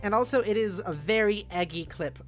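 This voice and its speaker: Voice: mid-range voice, fairly quick. A streamer host chatting casually and animatedly with viewers on a livestream. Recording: music playing behind the voice.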